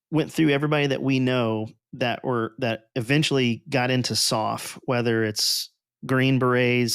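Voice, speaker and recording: Smooth-voiced casual podcast host man. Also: medium pitch, a bit bright, no echo, clean studio sound. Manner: casual and profane, rambling with restarts.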